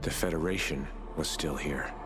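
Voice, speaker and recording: Very deep, solemn young soldier narrator. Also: male voice. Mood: solemn, mournful. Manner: solemn, measured. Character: grieving, determined young soldier narrating his war story. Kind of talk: solemn scripted game narration. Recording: loud music over the voice. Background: loud cinematic music.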